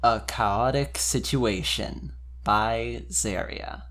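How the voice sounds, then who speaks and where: A man's voice: on the low side, extremely slow, a bit bright. A man speaking informally and expressively, as a podcast member giving an amused dramatic reading of fan fiction.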